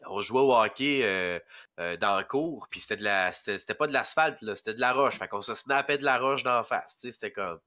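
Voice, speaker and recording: Stuffy-nosed young Québécois man, bright edge. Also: fairly low pitch, fairly quick. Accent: Québécois French. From rural Quebec. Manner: rambling, hesitant, with many fillers. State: stuffy with a head cold. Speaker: podcast guest and streamer. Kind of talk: casual chat.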